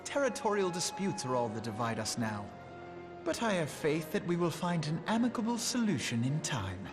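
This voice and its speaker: A man's voice: a little high, sing-song, normal speed. An actor playing a jovial, grandiloquent statesman in a fantasy video game, with a florid, oratorical delivery. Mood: buoyant. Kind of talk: theatrical fantasy game voice acting. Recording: loud music behind the voice.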